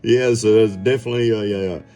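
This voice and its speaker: Very deep, bright-voiced man. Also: fairly even intonation, fairly quick, soft background music. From southeast Georgia, United States. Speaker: amateur radio operator and TV survival personality. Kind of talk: casual radio chat.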